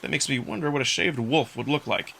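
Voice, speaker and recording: A man reading scripted first-person narration from a visual novel in an expressive storytelling manner. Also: mid-range voice, a little fast, gravelly. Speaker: narrator and voice performer.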